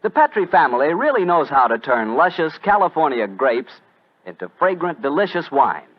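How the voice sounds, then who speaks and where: An American man announcing on 1940s radio, with an animated, emphatic delivery. He is chatty and folksy, selling like a sponsor's pitchman over muffled vintage broadcast sound. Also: moderate pace.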